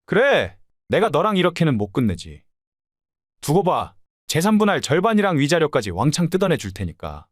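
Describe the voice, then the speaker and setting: A man's voice: on the high side, huge pitch swings, normal speed, no echo, clean studio sound. A man playing an aggrieved middle-aged husband and mother's boy in an audio drama, emotional and complaining. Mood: threatening.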